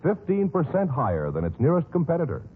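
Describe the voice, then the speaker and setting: A man's voice: fairly high pitch, huge pitch swings, fast talker, phone-quality sound, a little hiss or hum. An American man announcing a 1950s radio program in a solemn, emphatic, scripted style. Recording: band-limited old radio recording. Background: music.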